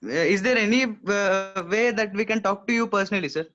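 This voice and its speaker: A man's voice: high voice, brisk, a bit bright. A man calling in, speaking deferentially and earnestly over a muffled online call. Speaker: caller.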